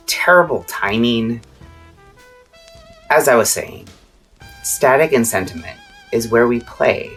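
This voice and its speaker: A woman performs a mysterious radio host with an extremely low, husky voice. The delivery is slow, cryptic and theatrical, like an eerie scripted late-night broadcast, with music playing underneath. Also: a bit bright, full character voice.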